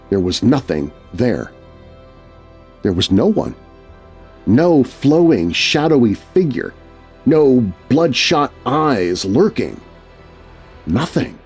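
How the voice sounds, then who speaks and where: A man's voice: fairly high pitch, breathy edge. A man narrating a scripted scary story in a dramatic, suspenseful way.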